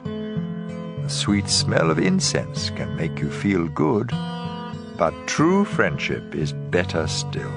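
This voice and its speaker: A man giving a measured, emphatic dramatized reading of scripture as an audio Bible reader. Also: sits low. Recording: guitar music bed. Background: plucked guitar music.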